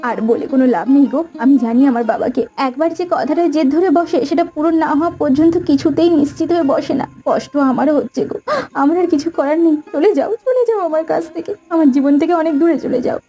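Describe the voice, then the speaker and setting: Cartoon young heiress, female actor: high, fast-talking, earnest, melodramatic. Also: dark tone, lightly acted. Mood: anguished. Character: tearful young bride, rich man's devoted daughter. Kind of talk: Bengali cartoon story acting.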